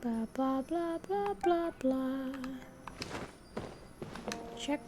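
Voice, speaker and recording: A woman's voice: high voice, animated, drawn-out words, music in the background. A young woman giving casual let's-play commentary, muttering wry, offhand remarks as she goes. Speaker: gaming streamer.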